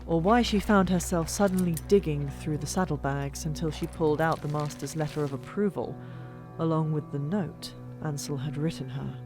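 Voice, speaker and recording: A woman's voice: deep voice, steady tone, normal speed. Woman narrating dramatic fantasy over music. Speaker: narrator of a fan-made audiobook. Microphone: old microphone. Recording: music bed, older microphone. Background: background music.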